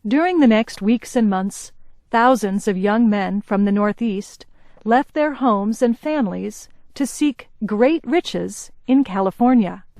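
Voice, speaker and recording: A woman reading a scripted news report in a slow, even, carefully paced way. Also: mid-range voice, dry recording. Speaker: news reader.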